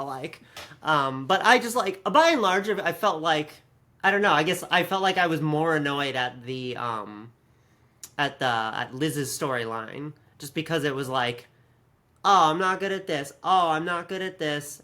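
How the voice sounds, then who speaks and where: A bright-voiced man talks casually as a podcast host. His pitch is a touch high and his tone has a clear edge. He is slangy and sardonic, acting out reactions as he comments. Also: normal speed. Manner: animated, slangy, acts out imagined reactions.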